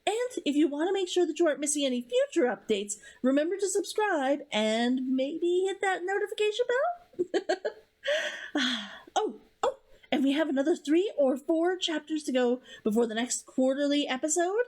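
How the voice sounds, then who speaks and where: A woman's voice: high-pitched, big pitch swings, normal speed, a little mellow. A woman author hosting a read-along, chatting casually to viewers about writing in a bubbly, giggly way.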